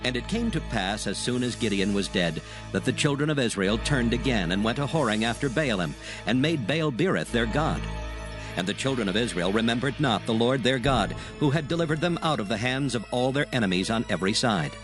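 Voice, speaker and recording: A male narrator of dramatized scripture with a mid-pitched voice. His solemn, measured storytelling rises and falls expressively, with music clearly playing beneath it. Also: expressive.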